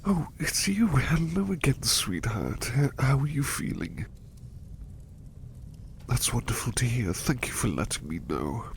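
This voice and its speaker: Casting: male actor as tender, devoted butler for ASMR roleplay; hushed, hesitant, courteous, gravelly low register, faint noise and rumble behind. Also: very animated, a little slow. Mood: grateful, under the weather. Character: gentle, devoted male butler, doting and apologetic. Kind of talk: ASMR roleplay, soft personal attention.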